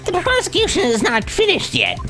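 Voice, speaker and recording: A man voicing a nervous, desperate courtroom prosecutor from a video game, stammering through high-strung protests. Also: huge pitch swings, a little fast, sharp tone, exaggerated voice. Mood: nervous insistence. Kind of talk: dramatic reading of a video game. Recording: game music behind the voice.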